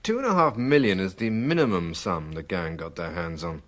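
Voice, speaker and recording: Low, expressive British police colleague. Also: male voice, normal speed. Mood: considered. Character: courteous, deliberate male police colleague, thoughtful and deferential. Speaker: actor in a radio drama. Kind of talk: British radio drama acting.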